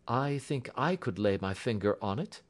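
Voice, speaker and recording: Guy doing a dryly superior detective for an audiobook, very sure of himself, deliberate, lively intonation. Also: medium pitch, voice pushed up. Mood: quiet assurance. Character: calm, self-assured male detective, dryly superior. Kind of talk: audiobook character acting.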